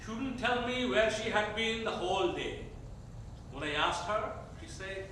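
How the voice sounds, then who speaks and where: High-voiced, effusive husband played by a man. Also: lively intonation, measured pace, bright, forceful tone, a little hiss or hum, full character voice. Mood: complaining. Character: talkative, self-satisfied husband and father. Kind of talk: staged play reading. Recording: very echoey theater, distant mic.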